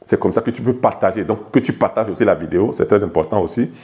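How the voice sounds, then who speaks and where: A man's voice: on the low side, fast talker, dark tone. A man from Togo, a life coach, explaining ideas to the viewer in an informal video lesson, talking familiarly and stumbling over repeated words and restarts. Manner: addresses the viewer directly and familiarly.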